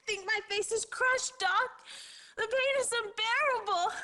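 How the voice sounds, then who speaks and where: A woman's voice: measured pace, sharp tone, heavily acted. An actress playing a distressed, melodramatic woman patient in pain in a video game cutscene. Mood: agonized. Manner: shrill, melodramatic. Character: panicked woman crash victim pleading with a doctor.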